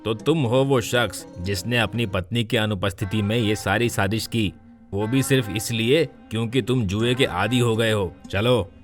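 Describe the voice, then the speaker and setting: A man's voice: lowish voice, a little slow, soft background music, lightly acted. A man playing a stern, righteous police officer in a moral story. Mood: scolding. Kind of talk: dramatised Hindi moral story.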